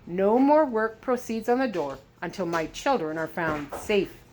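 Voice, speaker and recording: A woman voicing a desperate, forceful father, a determined doctor in a storybook, urgent and demanding. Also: mid-range voice, expressive, a little slow, lightly acted, rough recording. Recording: clear background noise.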